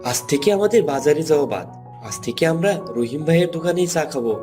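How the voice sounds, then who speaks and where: An actor playing an excitable village tea drinker in a cartoon, chatty and expressive. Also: male voice, on the high side, quick pace, close to an everyday voice. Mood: delighted. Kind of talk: cartoon voice acting. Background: faint music.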